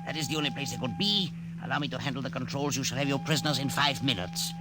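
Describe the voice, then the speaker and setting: A man's voice: mid-range voice, animated, fast, lightly acted. Brief: man playing oily double-crossing scientist, noisy old broadcast. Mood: smug. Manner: oily, self-assured. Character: smug treacherous male scientist. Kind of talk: 1950s radio drama acting.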